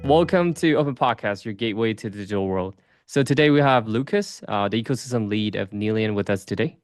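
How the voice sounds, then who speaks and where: A man hosting a podcast from a dark home studio, asking questions in a relaxed, hedging way. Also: lowish voice, a little fast, dry recording, soft background music. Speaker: podcast host. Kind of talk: casual interview hosting. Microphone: studio mic on boom arm.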